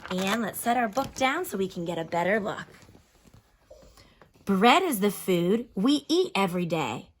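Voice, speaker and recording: Woman, elementary teacher, mid-range voice, expressive storybook reading, instructive asides, questioning. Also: mid-range voice, slight background noise. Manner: instructive, explains words and pauses to pose questions. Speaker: elementary school teacher reading a picture book aloud.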